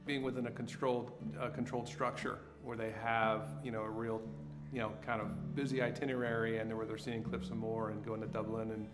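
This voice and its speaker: Quick, flat-toned man over loud guitar. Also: lowish voice. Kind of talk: promotional interview clip.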